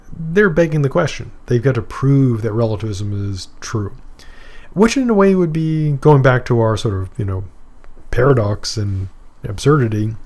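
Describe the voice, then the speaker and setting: A middle-aged man from Maine lectures on philosophy at a mid pitch. He talks in a loose, conversational way and stops for examples, fillers and asides. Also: a little mellow. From Maine, USA. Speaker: philosophy professor. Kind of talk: recorded course lecture.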